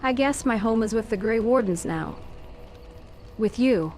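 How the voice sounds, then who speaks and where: A woman voicing a calm, caring young female warrior heroine in a video game, speaking in a measured, gently probing way. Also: fairly high pitch. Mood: reassuring. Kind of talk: video game dialogue acting. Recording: music behind game dialogue.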